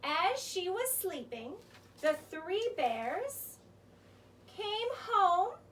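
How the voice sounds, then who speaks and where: A woman narrating a children's story as an expressive, theatrical storyteller. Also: very high-pitched, very slow. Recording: distant mic with faint noise.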